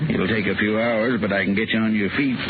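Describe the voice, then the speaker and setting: A man's voice: fairly low pitch, steady tone, fairly quick, natural voice. Man playing a kindly old-time radio doctor. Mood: measured, clinical. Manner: clinical, precise. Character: kindly, measured male doctor, old friend. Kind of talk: 1950s radio drama acting. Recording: muffled, noisy old radio recording.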